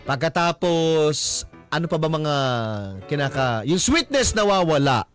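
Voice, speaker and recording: Man, Filipino accent, animated swooping pitch, advice host, conversational counselling, soft music underneath. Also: a little slow. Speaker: radio advice show host. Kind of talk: conversational counselling, mixing in English.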